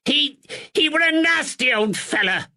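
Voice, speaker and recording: A man's voice: expressive, measured pace, bright, forceful tone, full character voice. A man voicing a nervous, weaselly middle-aged male draper who stammers and whines plaintively. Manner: stammering, plaintive, rising to shrill.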